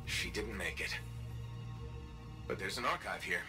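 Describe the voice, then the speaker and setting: An actor playing a determined armored pilot hero in a video game, speaking in terse, wry lines. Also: male voice, low voice, unhurried. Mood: grim resolve. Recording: loud game music and sound effects.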